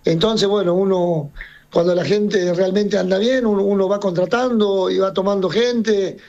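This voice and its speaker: A middle-aged man from Serbia, a circus owner, chats casually in an interview. His voice sits high for a man, and he rambles, restarting and repeating words as he goes. The recording is dull and lo-fi. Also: steady tone, moderate pace, a little mellow. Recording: dull lo-fi sound.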